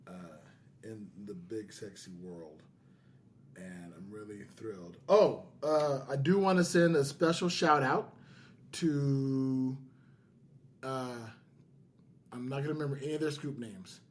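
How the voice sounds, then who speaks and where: A man's voice: medium pitch, steady tone, a little slow, long pauses. A man hosting a podcast, chatting casually. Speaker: podcast host.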